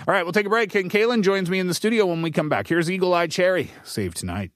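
A man hosting a radio show, talking casually and easily, with lively rises and falls in his voice. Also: quick pace, soft background music. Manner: relaxed, chatty, lively shifts in pitch. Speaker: radio host. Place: radio studio. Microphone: studio microphone.

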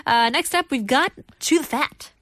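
Informal, higher-pitched woman co-hosting a language show. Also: normal speed, a bit bright, no echo, studio quality. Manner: informal, interjecting. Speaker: co-host of an English expressions show. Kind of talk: casual chat and informal explanation.

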